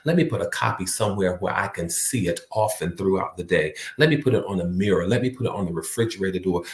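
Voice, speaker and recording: Emphatic low-voiced man, echoey room. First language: not French. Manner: repetitive, emphatic, preacher-like cadence. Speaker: motivational speaker. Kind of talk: motivational talk.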